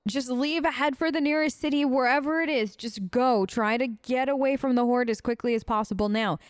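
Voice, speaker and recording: A woman doing in-character commentary on a role-playing game, chatty and expressive in the way she reacts. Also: sits high, brisk, clear, resonant tone, no echo, studio quality. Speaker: gamer, let's player.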